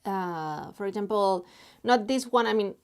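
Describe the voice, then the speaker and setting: Slow-talking woman from Spain. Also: medium pitch, darkish tone, clear, resonant tone. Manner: halting, many fillers, reads chat messages aloud. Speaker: artist live streaming while drawing. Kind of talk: casual live stream chat.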